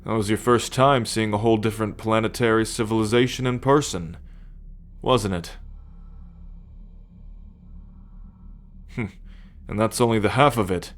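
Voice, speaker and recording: A man playing a proud, possessive alien starship captain in an ASMR roleplay, speaking in a measured, formal, commanding way to the listener. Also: lowish voice, natural voice. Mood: teasing, smug. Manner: measured, imperious, formal lecturing.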